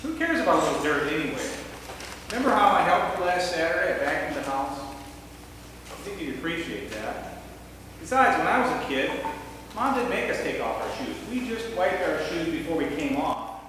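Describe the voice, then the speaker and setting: A man's voice: a little high, very animated, normal speed, pushed and bright, slight background noise. A man playing a careless, defensive husband in a church skit, talking in an offhand, grumbling way. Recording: echoey hall from far off.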